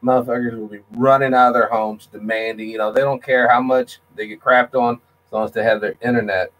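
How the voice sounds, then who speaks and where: A man's voice: medium pitch, flat delivery, brisk, a bit bright, rough recording. A man hosting a casual talk show, speaking bluntly with slang. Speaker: host. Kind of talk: casual discussion.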